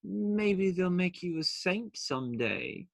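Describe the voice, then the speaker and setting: A man plays a teasing outlaw in a radio play, tossing out cheeky banter with a widely swinging pitch. The delivery is unhurried and theatrical, recorded close and dry. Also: animated, gravelly, dry recording, full character voice. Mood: teasing, playful.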